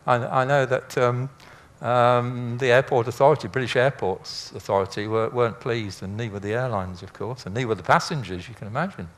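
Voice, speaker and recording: A man's voice: medium pitch, brisk, hardly any pauses. Chatty older man giving an informal talk. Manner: chatty, rambling, anecdotal with dry humour. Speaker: speaker, former air traffic controller. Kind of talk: informal illustrated lecture.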